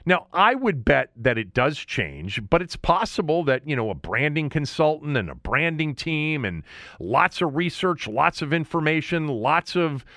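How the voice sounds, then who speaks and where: A man hosting a sports talk show, giving opinionated commentary in deliberate, emphatic phrases with points repeated for weight. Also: a little high, big pitch swings, fairly bright tone, no echo, studio quality. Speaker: sports talk podcast host.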